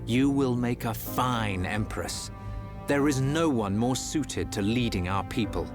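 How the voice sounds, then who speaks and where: Man acting noble game prince, mid-pitched, composed, formal, reassuring, music behind. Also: expressive, unhurried, close to an everyday voice. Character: calm, noble, supportive prince and elder brother. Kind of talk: scripted game cutscene acting.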